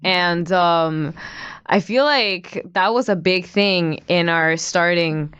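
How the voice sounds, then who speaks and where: A young woman from Mexico, Spanish her first language, speaking English as an interview guest, eager and quick to agree and add on. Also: lowish voice, fairly bright tone, clear, resonant tone, no room sound, highs cut off. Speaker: band member, interview guest. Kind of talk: casual interview chat.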